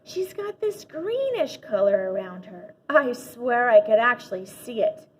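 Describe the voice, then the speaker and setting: A woman's voice: a little high, very animated, measured pace, a little mellow. A woman reading a children's book, voicing an imaginative schoolkid who earnestly and mysteriously bluffs through a class speech. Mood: excited, urging. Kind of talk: children's storybook read-aloud. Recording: dull lo-fi audio with faint noise.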